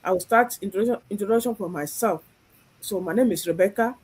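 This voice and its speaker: A woman's voice: mid-range voice, fast, a little mellow, breathy edge, rough recording. A woman from Nigeria hosting a live online stream, speaking earnestly and often repeating herself. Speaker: host.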